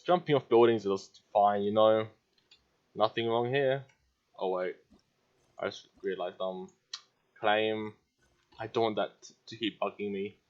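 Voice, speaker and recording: A man's voice: on the low side, steady tone, unhurried, long pauses, dull audio. A man doing casual playthrough commentary as a gaming streamer.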